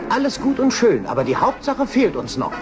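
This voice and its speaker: An actor playing a cocky, scheming lion trapper in a children's audio drama, boastful and sly. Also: male voice, sits high, wildly swooping pitch, fairly quick, music in the background. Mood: impatient.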